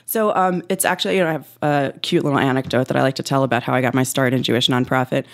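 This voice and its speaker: A woman's voice: very deep voice, fairly even intonation, very fast. A woman with an American accent giving casual, wry, self-deprecating answers as a guest in a radio studio. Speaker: interview guest, writer and nonprofit consultant. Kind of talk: casual conversational interview answers.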